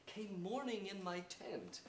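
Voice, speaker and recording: A resolute young commander, declaims verse in a stately, rousing way. The voice is high and unhurried, picked up from afar on an echoey theatre stage. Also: male voice, animated, recorded from a distance. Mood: calm, resolved. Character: noble, resolute young rebel commander rallying his troops. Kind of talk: staged reading of Shakespearean verse, oratorical.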